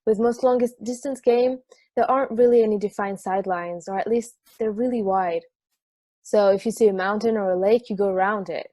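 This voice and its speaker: A man's voice: sits high, fairly even intonation, fairly quick, darkish tone, dry recording. A man acting as an easygoing football fan who relishes weirdness, in a conversational script reading. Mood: enthusiastic, wry. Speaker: amateur actor in a remote table read.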